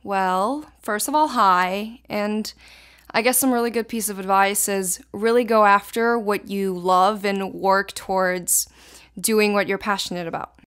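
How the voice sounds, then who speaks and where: A woman's voice: mid-range voice, fairly even intonation, unhurried, fairly bright tone, clear, resonant tone. A teenage girl from Israel, a native Hebrew speaker, answering interview questions in casual, thoughtful sentences. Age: teens.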